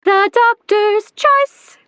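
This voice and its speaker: Casting: sweet 1940s radio jingle woman, extremely high voice, slow sing-song delivery. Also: a bit bright, no room sound, studio quality, full character voice. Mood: sweet, chirpy. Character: sugary female singer of old radio cigarette jingle.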